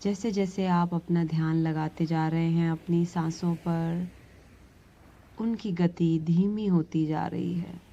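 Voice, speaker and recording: A woman leads a guided relaxation meditation, giving gentle, soothing instructions with long pauses between phrases. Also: on the low side, steady tone, muffled recording. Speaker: yoga nidra meditation instructor.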